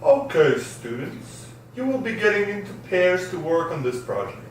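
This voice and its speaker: A man's voice: high voice, big pitch swings, moderate pace, slight background noise. A man reading the part of a strict science teacher at a script table read, in an echoey room with a distant mic. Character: strict male science teacher addressing his class.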